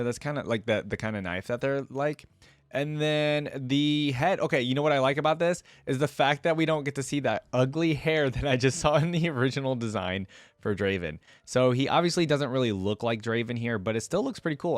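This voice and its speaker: Animated man reacting casually, dry close sound. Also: mid-range voice, no echo. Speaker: reaction video host.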